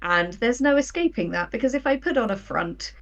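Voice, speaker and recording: A woman in her thirties chatting casually as an interview guest, telling things in an animated way and acting out imagined quotes. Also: a little high, fairly quick, distant mic, lo-fi sound. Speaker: guest, writer.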